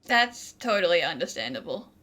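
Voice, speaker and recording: A woman's voice: lowish voice, sing-song, moderate pace, fairly bright tone. A woman streaming a video game, giving casual, chatty commentary and talking back to the characters. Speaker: gaming streamer.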